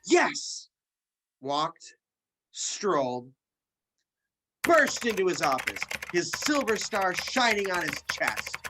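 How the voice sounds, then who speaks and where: A woman's voice: lowish voice, big pitch swings, slow, long pauses, noisy background. A woman playing a whiny, imaginative fourth-grade kid in an amateur radio play. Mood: musing, groping. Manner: whiny, groaning, dramatic.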